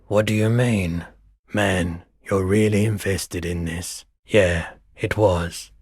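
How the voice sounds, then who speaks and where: A world-weary pawnshop owner from a video game, male and very low in pitch. He takes his time over each phrase, speaking slowly and softly with a wistful, laid-back air. The voice is husky and sits close and dry. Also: fairly even intonation, no room sound, exaggerated voice. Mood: laid-back, obliging. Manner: unhurried, low-key, deliberate. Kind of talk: video game character dialogue.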